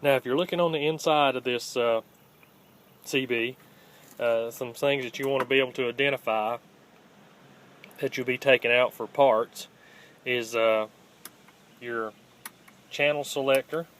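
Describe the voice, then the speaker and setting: A man with a middle-pitched, flat voice walks through a hands-on demonstration. He talks plainly and haltingly, unscripted, like a casual how-to host. It is a home phone recording with faint noise behind him. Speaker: host of a scrapping how-to channel. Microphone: handheld phone, filming one-handed.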